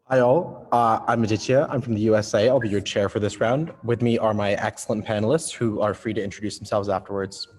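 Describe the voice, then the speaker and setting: A man serving as chair judge of a debate, making brisk, courteous formal remarks over a video call. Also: fairly low pitch, steady tone.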